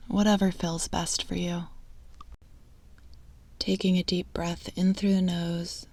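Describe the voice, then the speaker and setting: A woman speaks as a meditation guide in a hushed, breathy voice that sits slightly low. Her delivery is very even and slow, with long pauses between soothing phrases. A creaky fry runs through her words. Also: dry recording.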